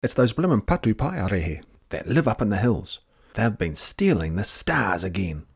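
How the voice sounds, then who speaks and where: A man voicing an excitable, fretful old grandmother telling tales, with exclamations and hushed asides. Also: fairly low pitch, normal speed, vocal fry, slightly rough, full character voice. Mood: knowing, grumbling.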